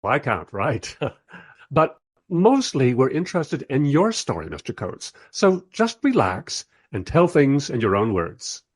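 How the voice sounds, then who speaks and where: An actor playing a long-suffering documentary director in a radio play, narrating in a dry, sardonic, conversational and diplomatic way. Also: male voice, mid-range voice, big pitch swings, slow, husky, no room sound, full character voice. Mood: placating. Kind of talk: first-person radio play narration and dialogue.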